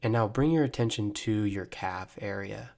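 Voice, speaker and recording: A man guiding a body scan meditation, giving gentle, measured instructions with long pauses. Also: lowish voice, gravelly. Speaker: meditation guide, mental performance coach.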